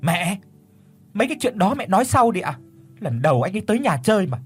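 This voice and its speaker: A man's voice: mid-range voice, racing, music in the background, lightly acted. A man voicing an irritated young daughter who snaps at her mother. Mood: curt. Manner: sharp, scolding.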